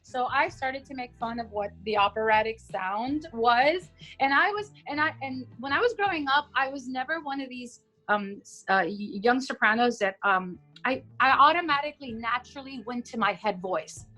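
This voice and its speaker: A woman's voice: on the high side, moderate pace, hardly any pauses, faint music behind, poor audio. A woman in her forties, born in Cuba, chatting about her life as an opera singer over a video call from home, with many fillers. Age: 40s. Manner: chatty, warm storytelling with fillers. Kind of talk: casual video-call interview. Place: home room. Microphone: video call, laptop camera.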